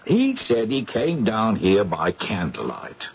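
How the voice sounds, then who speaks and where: A wordy brit police super, low raspy voice, very polite, old radio mystery, muffled sound. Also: male voice, huge pitch swings, slow, exaggerated voice. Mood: confiding. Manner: colloquial, deferential, hesitant phrasing. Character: deferential, wordy, down-to-earth British police superintendent. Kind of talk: old-time radio drama acting. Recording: dull old radio recording.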